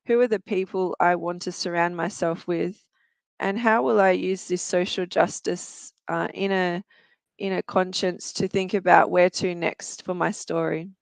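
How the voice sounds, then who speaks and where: Australian woman in her 40s, reflective guest storyteller, smooth low-ish voice, dry close sound. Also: steady tone, measured pace, dry recording. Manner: earnest and reflective, with some fillers. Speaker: guest, youth worker and researcher. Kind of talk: personal storytelling in a talk show.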